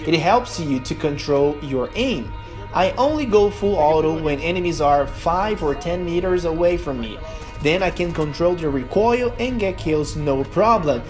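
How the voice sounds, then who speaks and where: Brazilian man, lively tutorial voice-over. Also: fairly high pitch, a little slow, faint music behind. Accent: Brazilian Portuguese. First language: Portuguese. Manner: earnest, emphatic, non-native phrasing. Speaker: gaming youtuber.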